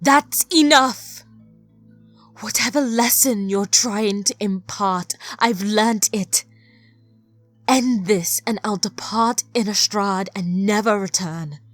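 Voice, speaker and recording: A woman acts out an ancient female mage for an audio drama, proud and vengeful. Her delivery is slow and imperious, carried over a music bed. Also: mid-range voice, natural voice. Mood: angry, defiant.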